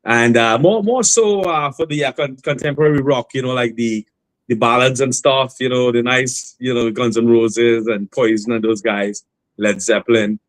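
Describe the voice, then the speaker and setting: A man, a guest singer on a remote call, telling his story in a relaxed, rambling way with many fillers and restarts. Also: medium pitch, normal speed, no echo, poor audio. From Trinidad and Tobago. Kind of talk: casual interview storytelling.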